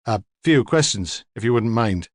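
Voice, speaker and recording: Man reading as a measured, courteous male detective, dry sound. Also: lowish voice, expressive, no echo. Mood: measured, probing. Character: calm, polite male police detective asking questions.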